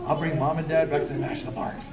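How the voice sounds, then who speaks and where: A woman's voice: low voice, level tone, quick pace, a crowd in the background. Laughing woman ranger coaxing kids. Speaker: park ranger swearing in junior rangers. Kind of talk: casual outdoor oath ceremony with kids. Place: outdoors at a historic fort site. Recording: echoey, distant, noisy outdoor phone video.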